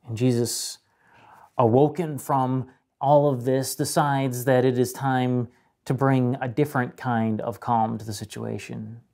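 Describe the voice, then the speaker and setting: The voice of a man at the front of a small church, a mellow, measured pastor preaching with weighted pauses. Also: mid-range voice, fairly even intonation, gravelly. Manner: measured, dramatic pauses and emphasis. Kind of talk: sermon. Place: indoor room with a painted mural, speaking to camera.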